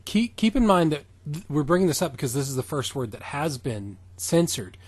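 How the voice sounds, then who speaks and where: A man with a fairly high, husky voice reads a story aloud as a co-host. He breaks off often for casual, amused commentary on the writing. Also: very animated, brisk, no room sound. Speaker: podcast co-host reading fan fiction aloud. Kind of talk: amused dramatic reading with casual riffing.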